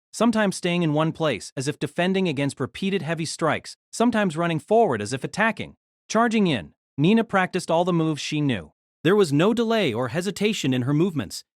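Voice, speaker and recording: A man narrating a novel aloud in an expressive storytelling manner. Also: on the high side, no room sound, studio quality.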